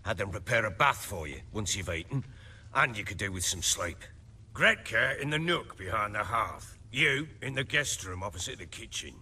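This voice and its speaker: An actor playing a gruff, commanding middle-aged lord in a video game, with blustering, archaic rustic speech. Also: male voice, on the low side, lively intonation, normal speed, bright, forceful tone, slight background noise. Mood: hospitable, gruff. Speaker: lord with men and hounds. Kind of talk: dramatic video game acting.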